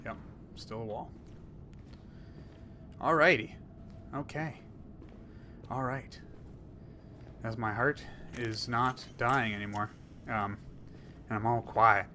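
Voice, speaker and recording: A man in his thirties commenting on a horror game he plays, rambling and jokey, swinging from mumbles to yells. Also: medium pitch, fairly even intonation, slow, long pauses, slight background noise. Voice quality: hoarse at times. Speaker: gaming YouTuber. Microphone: headset.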